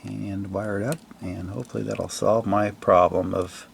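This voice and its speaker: A man casually narrating a hands-on repair demonstration in a halting, unscripted way, recorded in a home workshop on a camera mic. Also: lowish voice, little pitch movement, gravelly, a little hiss or hum. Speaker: hobbyist repairer presenting his own video.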